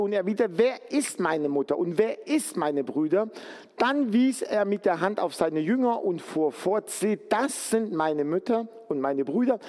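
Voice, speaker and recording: A man's voice: high-pitched, a little mellow, big-room sound. A man from Turkey preaching a sermon in an earnest, conversational way. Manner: earnest, conversational, pleading at times.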